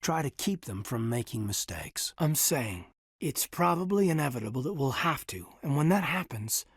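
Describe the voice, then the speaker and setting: An actor playing an earnest, reserved military officer in his thirties in a sci-fi video game, speaking in a measured, hesitant, husky voice. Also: mid-range voice, sing-song, no echo, clean studio sound. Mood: earnest concern. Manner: hesitant, measured, sincere, deferential. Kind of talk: video game character dialogue.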